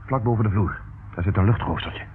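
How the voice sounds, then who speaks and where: An actor playing a shrewd, decisive detective who calmly takes command in a radio drama. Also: male voice, lowish voice, fairly quick, lightly acted. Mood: cool, commanding. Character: shrewd, cool-headed male detective taking command in danger. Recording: old lo-fi radio recording, faint noise.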